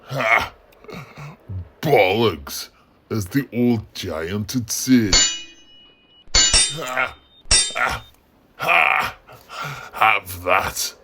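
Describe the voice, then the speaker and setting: A man voicing a rowdy, excitable clansman fighter in a fantasy story, exclaiming in dialect. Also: lowish voice, extremely slow, long pauses, heavy background noise, full character voice. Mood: brash. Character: rowdy clansman fighter, brash then frightened.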